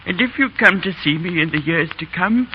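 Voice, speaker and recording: An actor playing an elderly English schoolmaster, fond, donnish and gently humorous, speaking haltingly in a 1930s radio drama. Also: male voice, high voice, animated, muffled recording. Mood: tender. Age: old man. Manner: halting, chuckling, sentimental old-man delivery. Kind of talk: 1930s radio drama acting, farewell speech. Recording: noisy vintage 1930s radio broadcast.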